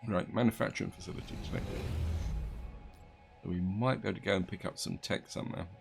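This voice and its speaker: A man casually commenting on his gameplay as he plays, thinking aloud and correcting himself, his voice slightly croaky from allergies. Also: low voice, fast talker, over music. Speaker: gaming video host.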